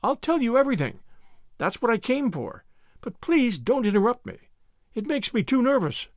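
A man's voice: very high-pitched, very animated, normal speed, no echo, clean studio sound, voice pushed up, exaggerated voice. A man voicing an earnest, dramatic sixteen-year-old girl who talks formally and wordily in a storybook reading. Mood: nervous pleading.

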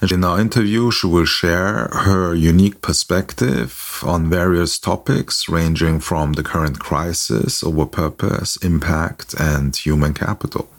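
A man hosting a podcast, introducing his guest in a measured, formal way. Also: deep voice, steady tone. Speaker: podcast host. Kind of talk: podcast interview.

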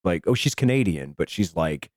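A middle-aged man with a hoarse voice, casually bantering and swearing as a podcast host. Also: sits low, sing-song, moderate pace, a little mellow, dry recording, studio quality.

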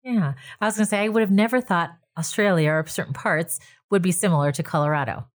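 A woman's voice: on the low side, fast. A woman hosting a podcast interview, upbeat and enthusiastic as she introduces and questions her guest. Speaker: podcast host. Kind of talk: read intro, then casual interview questions.

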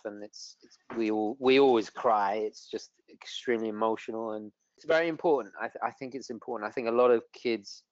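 Guest voice: low-pitched English man, Italian first language, hesitant, restarts often, dry dull audio. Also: dry recording. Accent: English, with a trace of Italian. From England. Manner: halting, many restarts and fillers. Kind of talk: casual interview chat.

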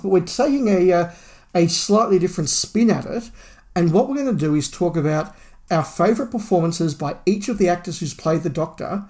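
A man co-hosting a fan podcast, chatting casually and reading listener email in a measured, conversational way. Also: sits high, vocal fry, a little mellow. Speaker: podcast co-host.

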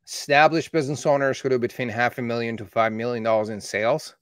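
A man in his thirties, a marketing agency owner from Canada who was born in Iran, telling his story in steady, matter-of-fact conversation. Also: medium pitch, fast talker, no echo, clean studio sound. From born in Iran, raised in Canada. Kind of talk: casual conversation.

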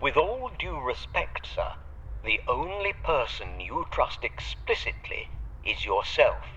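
A man's voice: mid-range voice, sing-song, slow pace, sharp tone, lo-fi sound, heavily acted. A man playing a loyal, wry butler in an audio drama, formal and sardonic, heard through a radio filter. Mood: dry, wry. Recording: band-limited radio link with noisy hiss.

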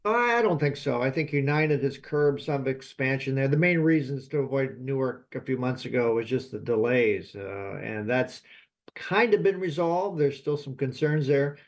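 A man gives expert commentary in an interview. His voice sits at a middle pitch, and his delivery is conversational and halting, with informal asides as he explains. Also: animated. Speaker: expert guest, aviation professor.

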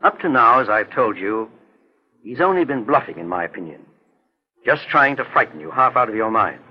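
An actor playing a calm, mature male private investigator in a 1940s radio drama, speaking in a measured, earnest, authoritative way. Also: medium pitch, natural voice. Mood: grave, deliberate. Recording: muffled vintage 1940s radio recording.

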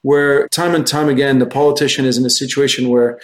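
A man's voice: medium pitch, steady tone, brisk. A middle-aged man from France, a native French speaker, giving discursive, anecdotal answers as an interview guest. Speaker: guest, investment research executive.

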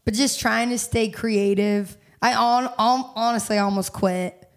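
A young woman singer telling her story candidly in a casual interview, animated and quick to laugh. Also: on the high side. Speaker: singer-songwriter guest.

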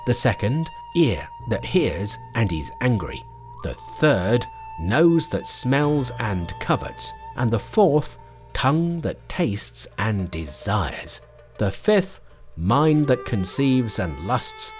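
Storyteller putting on a male robber, menacing, scornful, slow drawn-out delivery, animated storybook villain. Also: medium pitch, soft background music. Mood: boastful. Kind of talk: storybook character voice.

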